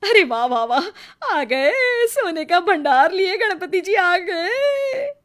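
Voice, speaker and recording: A woman's voice: extremely high pitch, animated, slow pace, fairly bright tone, voice pushed up, exaggerated voice. A woman voicing a greedy, envious rich neighbour in a moral story, exclamatory, nosy and scornful. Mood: eager, greedy. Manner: exclamatory, nosy, mock-admiring. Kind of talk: Hindi moral storybook acting.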